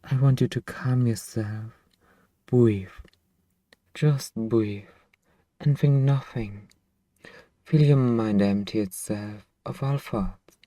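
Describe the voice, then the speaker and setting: A man playing a possessive, doting vampire master in an intimate, coaxing ASMR roleplay, with hushed, drawn-out phrasing. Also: on the low side, dry recording. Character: possessive male vampire master, hypnotic, doting, anxiously tender.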